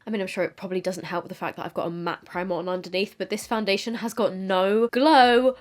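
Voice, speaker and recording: A woman's voice: mid-range voice, steady tone, fast. A young woman vlogging about her makeup in a casual, frank and self-deprecating way, sounding a little stuffy from a cold. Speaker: beauty vlogger. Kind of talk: casual makeup chat to camera.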